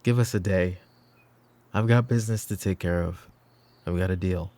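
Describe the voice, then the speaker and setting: Male actor as middle-aged mafia boss, roleplay audio, very low pitch, dark mellow tone, world-weary, stern, protective. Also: lightly acted. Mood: firm, businesslike. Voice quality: low, gravelly. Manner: measured, commanding, world-weary. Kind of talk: roleplay ASMR audio drama.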